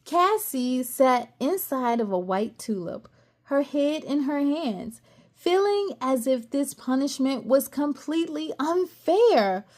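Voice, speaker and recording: A woman reading a children's story aloud expressively, adding gasps and excited asides. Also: high voice, takes it slowly.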